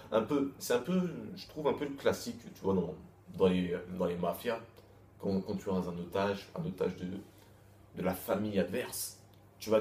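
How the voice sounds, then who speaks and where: A man's voice: low voice, mellow. Man rambling in an echoey room. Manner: loose, repetitive, with frequent restarts and crude slang. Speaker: manga chapter reviewer. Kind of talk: casual rambling review. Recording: echoey room, faint background noise.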